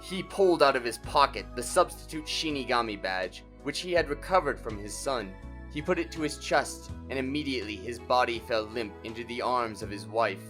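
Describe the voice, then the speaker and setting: A male narrator reads a scripted dramatic story at a middle pitch. Faint music plays underneath his voice. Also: lively intonation, normal speed, a bit bright.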